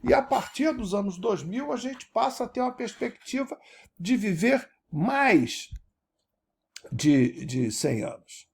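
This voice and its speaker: A man, giving a lecture as an animated teacher who keeps checking that his audience follows. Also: high voice, slow, creaky, husky. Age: 70s. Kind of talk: recorded university-style lecture.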